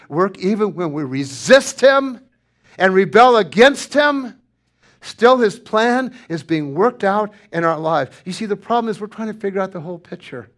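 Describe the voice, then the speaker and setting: A man preaching a sermon, moving from loud, emphatic lines to hushed, near-whispered ones. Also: high voice. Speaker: pastor.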